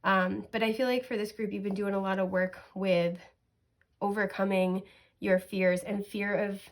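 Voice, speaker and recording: A sniffly woman reading tarot cards in a chatty, reassuring way, with many fillers and soft laughs. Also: medium pitch, steady tone, moderate pace. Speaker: tarot card reader. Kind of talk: casual pick-a-card tarot reading.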